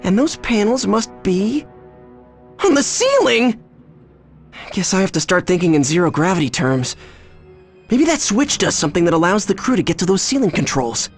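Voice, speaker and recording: An actor voicing a brave, wisecracking teenage boy hero in a video game, determined and quick with a joke. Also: sits high, big pitch swings. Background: music.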